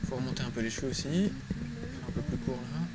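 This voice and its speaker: A man's voice: fairly high pitch, very animated, a little fast. Casual Frenchman muttering over music. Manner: informal, slangy, muttering to himself. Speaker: drawing tutorial presenter. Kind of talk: casual screen-recorded tutorial, thinking aloud while drawing. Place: small room at home, indoors. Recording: music playing behind the voice.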